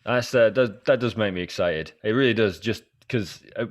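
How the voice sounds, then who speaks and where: Male podcast host from Scotland, low register, loose chatty delivery with tentative, halting phrasing. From Edinburgh, Scotland. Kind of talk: casual chat.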